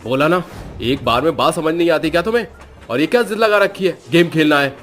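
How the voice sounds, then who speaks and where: A man's voice: fairly high pitch, a little fast, fairly bright tone, voice pushed up, close to an everyday voice. A man playing a stern, short-tempered, worried father in a cartoon story, scolding and insistent. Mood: angry scolding.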